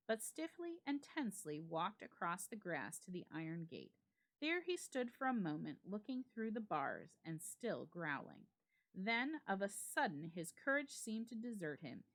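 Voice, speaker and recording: Expressive woman reading with lively pitch. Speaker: library staff reader. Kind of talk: dramatic reading of a classic ghost story.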